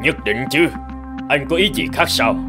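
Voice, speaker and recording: A man playing a stern, resolute middle-aged president in a cartoon, speaking with deliberate authority. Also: mid-range voice, animated, music in the background, natural voice. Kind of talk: cartoon drama acting, storytelling.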